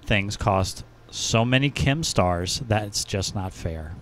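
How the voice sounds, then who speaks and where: A man's voice: fairly low pitch, lively intonation, takes it slowly, fairly bright tone. A man speaking casually as a podcast co-host into a studio microphone. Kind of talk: casual podcast chat, reading out reviews. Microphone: podcast microphone in a small studio.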